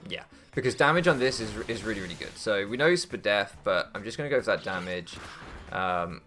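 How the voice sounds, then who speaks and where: Young guy gaming youtuber, deepish bright voice, talking through his moves, faint music behind. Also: expressive, a little fast. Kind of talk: casual strategy game commentary, thinking aloud.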